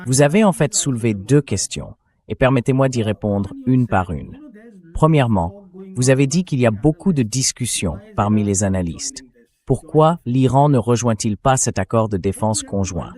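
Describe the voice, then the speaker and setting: A man's synthetic voice reading translated commentary as an interview guest, explaining points in a measured way. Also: medium pitch, lively intonation, darkish tone. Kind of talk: translated political commentary voice-over read by synthetic voice.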